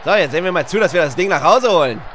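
A man's voice: sits high, animated, rapid-fire, a bit bright, a faint crowd, slight background noise. A man voicing a bumbling male team coach in a parody, emphatic and stammering as he repeats himself. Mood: rousing, frustrated. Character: loud, bumbling male team coach giving pep talk. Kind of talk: parody dub.

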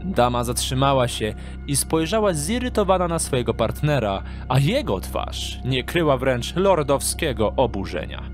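A man reads dramatic, scripted story narration for an audio drama. His voice sits at a medium pitch, with music clearly audible underneath. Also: sing-song, normal speed, hardly any pauses.

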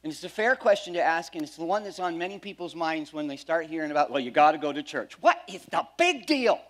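A middle-aged man pastor preaching a sermon on a church stage, animated, swinging between loud emphasis and quiet asides. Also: a little high, fast talker.

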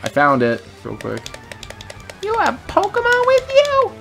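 A man's voice: extremely high pitch, huge pitch swings, gravelly, a bit bright. Guy co-hosting a game playthrough; crude, mocking banter, heavy swearing, light game music underneath. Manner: reads in-game dialogue aloud quickly. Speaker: let's play co-host, player. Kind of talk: casual gaming commentary.

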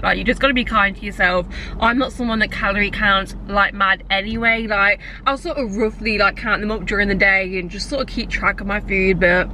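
A woman's voice: medium pitch, sharp tone, a little hiss or hum. A young woman from England, vlogging in a chatty, gushing way to a camera held at arm's length, sounding nasal from a blocked nose. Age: young adult. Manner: chatty and gushing, talks while eating. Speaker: lifestyle vlogger. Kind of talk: casual chat to camera. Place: parked car, then a home kitchen. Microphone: camera mic at arm's length.

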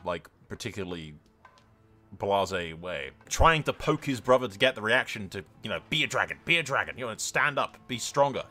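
A man's voice: on the low side, pushed and bright. A man hosting a gaming video, mixing casual, sweary commentary with theatrical role-play reading over music. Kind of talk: excitable gameplay commentary mixed with dramatic read-aloud role-play. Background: game music.

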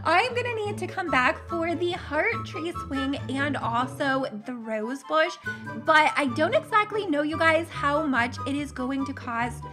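A woman's voice: sits high, expressive, measured pace, over music. A young woman hosting a gaming channel, commenting casually with gushing gasps and giggles while losing her voice. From Canada.

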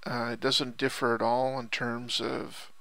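A man's voice: mid-range voice, flat delivery, a little slow, vocal fry, a bit bright. A man giving casual, unscripted product commentary in a rambling, hesitant way, often repeating himself. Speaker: online product reviewer.

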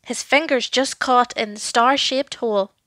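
Unhurried woman storyteller, higher-pitched voice. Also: no room sound. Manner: deliberate, dramatic delivery with hushed passages. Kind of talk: expressive children's story narration.

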